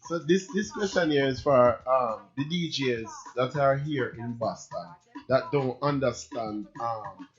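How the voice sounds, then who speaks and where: A man's voice: mid-range voice, measured pace, muffled recording. A man hosting an interview, asking long, rambling questions with enthusiasm. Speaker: interview host. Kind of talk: casual interview.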